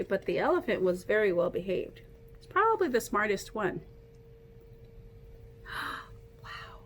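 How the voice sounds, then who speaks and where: A woman reading a picture book aloud to children like a teacher, in an animated, playful way, coaxing young listeners to join in. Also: medium pitch, a little hiss or hum.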